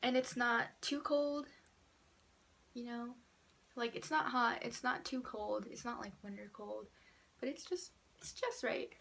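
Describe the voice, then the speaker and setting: A woman's voice: fairly high pitch, fairly even intonation, measured pace, gravelly, fairly bright tone, husky. An eighteen-year-old woman chatting casually to camera about herself in a small room, stuffed up from a cold, chatty and a little sarcastic. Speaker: vlogger introducing herself. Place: small indoor room by a door. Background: passing cars.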